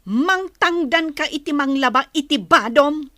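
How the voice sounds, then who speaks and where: A woman's voice: sits high, expressive, unhurried, fairly bright tone, dry recording, clean studio sound. A woman with an Ilocano accent playing a defiant, selfish young wife who whines and argues in a radio drama. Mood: demanding, annoyed. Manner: whiny, argumentative.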